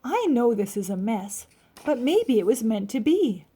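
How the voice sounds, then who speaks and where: A woman's voice: a little high, very animated, measured pace, mellow, slightly rough, exaggerated voice. A woman voicing a patient, reassuring mother in a children's storybook.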